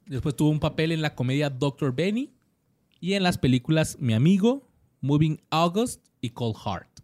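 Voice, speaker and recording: A man's voice: fairly high pitch, lively intonation, moderate pace, a little mellow, dry recording. A man co-hosting a podcast, reading out actor bios with lots of slang and jokes. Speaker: podcast co-host. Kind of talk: casual podcast chat.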